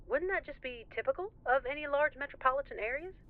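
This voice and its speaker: A woman's voice: sits high, animated, quick pace, no room sound. A woman playing an inquisitive radio interviewer with a polished, probing delivery, through a radio filter. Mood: curious, polished. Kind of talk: broadcast interview acting. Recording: tinny, band-limited radio broadcast sound.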